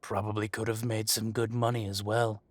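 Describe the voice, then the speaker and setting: A man acting as a possessive dragon shifter mafia boss in a roleplay audio drama, speaking with mock politeness and deliberate, taunting menace. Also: lowish voice, level tone, no echo, close to an everyday voice. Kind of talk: scripted roleplay audio drama, addressing the listener.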